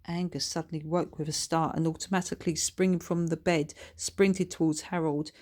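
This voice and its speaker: A female author reads a children's story aloud. Her voice sits slightly low for a woman, in a scripted storytelling style. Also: fairly even intonation, moderate pace, warm tone. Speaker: author reading own book.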